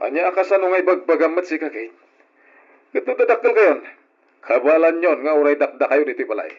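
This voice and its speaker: A man's voice: on the high side, animated, a little fast. A man with an Ilocano accent acting a defiant, callous middle-aged father in an old radio drama, brusque and scolding. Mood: dismissive. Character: defiant, callous father walking out on his family. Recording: dull lo-fi old radio recording.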